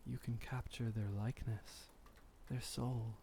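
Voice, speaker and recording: A man playing a gentle, refined, centuries-old vampire boyfriend in intimate ASMR roleplay, soft-spoken and soothing with formal wording. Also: mid-range voice, level tone, unhurried, gravelly, slight background noise. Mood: calm, reminiscing.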